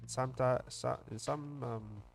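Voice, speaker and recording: A man's voice: medium pitch, steady tone, measured pace, creaky, soft background music. A young South African man with a South African English accent, chatting casually on a livestream while he draws, rambling with lots of fillers and restarts. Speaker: webcomic streamer.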